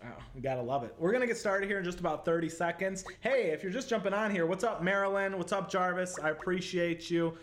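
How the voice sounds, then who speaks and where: A man's voice: high-pitched, fast, hardly any pauses, fairly bright tone. Chatty Chicago-accented man hosting a livestream. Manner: chatty, upbeat, lots of "like" and "totally". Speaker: livestream show host. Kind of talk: casual live interview chat.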